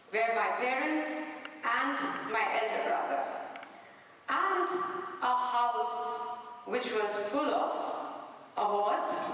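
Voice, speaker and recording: A middle-aged woman from India giving an inspirational talk on stage, speaking in measured phrases with pauses for effect. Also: on the high side, fairly bright tone, a little hiss or hum. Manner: slow, deliberate, with pauses for effect. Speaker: invited speaker. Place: large hall. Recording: echoey hall, far from the mic.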